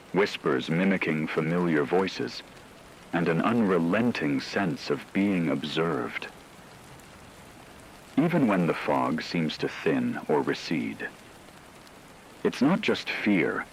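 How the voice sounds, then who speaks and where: A man playing a solemn radio news correspondent, delivering measured, formal scripted reports on an apocalyptic disaster. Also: low-pitched, gravelly, a bit bright, slight background noise, heavily acted, poor audio. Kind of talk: scripted dramatic news narration.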